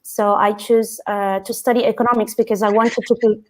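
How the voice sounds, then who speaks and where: A woman's voice: medium pitch, level tone, normal speed. Italian-accented woman, hesitant roundtable guest. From Italy. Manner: hesitant, laughs between phrases. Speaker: teacher guest. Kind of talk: roundtable discussion.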